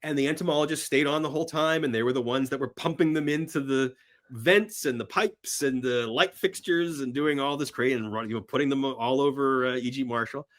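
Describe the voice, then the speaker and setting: A man as a podcast guest chatting casually and enthusiastically about films, telling stories in an animated, emphatic way. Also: medium pitch, a little fast. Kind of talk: enthusiastic casual film chat.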